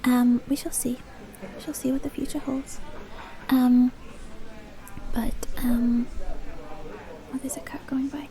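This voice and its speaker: Mellow woman, often whispering. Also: high-pitched, level tone, slow pace, long pauses. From Dublin, Ireland. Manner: rambling, self-deprecating. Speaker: ASMR video creator. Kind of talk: ASMR, rambly casual chat.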